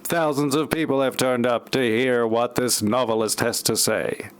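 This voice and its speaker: A man's voice: mid-range voice, steady tone, moderate pace, clean tone. A man reading scripted narration for an amateur parody audio play.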